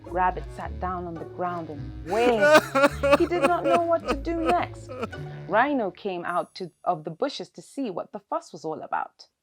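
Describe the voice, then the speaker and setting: A woman reads a children's story as narrator. Her delivery is expressive, with lively, varied intonation, and music is clearly heard behind her voice. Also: medium pitch, moderate pace.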